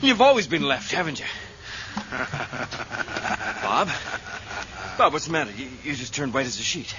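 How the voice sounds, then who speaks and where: Animated, excitable concerned friend. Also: male voice, on the high side, normal speed. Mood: puzzled, concerned. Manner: animated, exclamatory, insistent. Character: concerned, excitable male friend of a troubled man. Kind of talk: vintage radio drama acting. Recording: muffled, noisy vintage radio recording.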